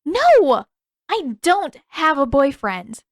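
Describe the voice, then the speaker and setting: A woman's voice: high voice, big pitch swings, takes it slowly, no room sound, clean studio sound, voice pushed up, exaggerated voice. A woman reader playing a spirited, stubborn and defensive teenage girl in a story, emphatic and wry. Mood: flustered outrage.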